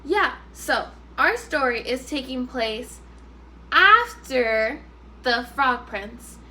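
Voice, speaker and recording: A woman teacher reading a story aloud to first graders, expressive and instructive, pausing to put questions to them. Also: sits high.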